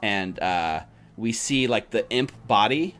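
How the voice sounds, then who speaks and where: A man's voice: lowish voice, lively intonation, slow, fairly bright tone. A man narrating a tabletop game as game master in a casual, improvised, rambling way. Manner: rambling, peppered with "like" and "you know".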